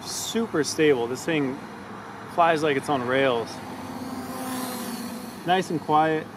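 A man's voice: on the high side, takes it slowly. A man giving casual, upbeat commentary while test-flying a drone outdoors in a park field, thinking aloud as he goes. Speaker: drone reviewer. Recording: outdoor wind and traffic noise.

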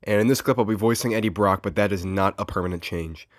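A man's voice: lowish voice, fast, no echo, studio quality. A man giving a casual video introduction as a presenter and voice actor.

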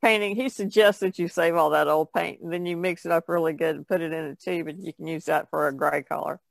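A woman's voice: low-pitched, fairly even intonation, fast talker, creaky, no room sound. A woman talking casually as a panelist on an art podcast. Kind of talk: casual chat.

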